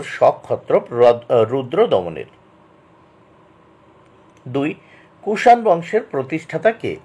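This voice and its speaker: A man giving an instructional exam lesson, reading out questions and answers deliberately, repeating key points and addressing students directly. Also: on the high side, a little mellow, slightly rough. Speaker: exam tutor.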